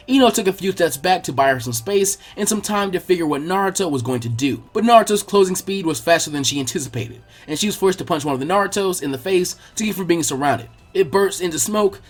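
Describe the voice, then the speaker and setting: A man reading a scripted action story aloud as its narrator. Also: a little high.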